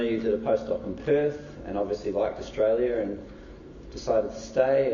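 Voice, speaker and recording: A man hosting a lecture event, introducing the speakers in a relaxed, casual way with many fillers. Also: on the low side, a little hiss or hum. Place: university lecture hall. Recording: echoey lecture hall, distant dull mic.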